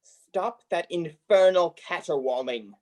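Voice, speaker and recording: An actor playing a grim, irritable old miser in a radio play, barking and scornful in manner. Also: male voice, on the high side, slow, a little mellow. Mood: irritable, commanding.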